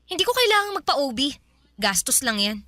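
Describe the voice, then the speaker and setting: Hot-headed pregnant young Filipina, very high, bright voice, snappy radio drama delivery. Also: female voice, sing-song, moderate pace, dry recording, full character voice. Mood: defiant, dismissive. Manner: snappy, argumentative.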